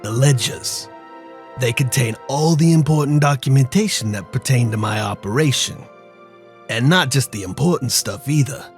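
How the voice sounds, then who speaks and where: Calculating mob boss, played by a man, mid-pitched. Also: lightly acted. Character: self-assured male crime boss, calm and calculating. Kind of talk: noir audio drama acting.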